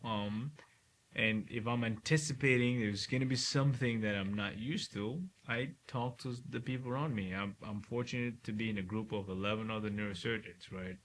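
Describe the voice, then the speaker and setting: A man's voice: fairly low pitch, moderate pace, a bit bright. Reflective man from Cameroon, faint hiss. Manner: reflective, frequent "right?" tag questions. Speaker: neurosurgeon guest. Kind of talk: relaxed interview conversation.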